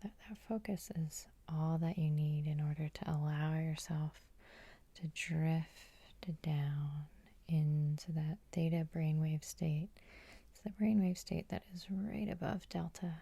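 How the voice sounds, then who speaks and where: A woman hypnotherapist guiding sleep hypnosis in a soothing, unhurried, breathy ASMR whisper, close to the microphone. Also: low voice, fairly even intonation, mellow, dry recording.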